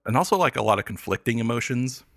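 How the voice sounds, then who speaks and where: A man chatting casually about the arts on a podcast, explaining things in a halting way with lots of filler words. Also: medium pitch, animated, creaky, a bit bright, no room sound, clean studio sound. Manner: hesitant, filler-heavy explaining. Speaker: podcast guest reviewing pop albums. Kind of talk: casual arts talk chat.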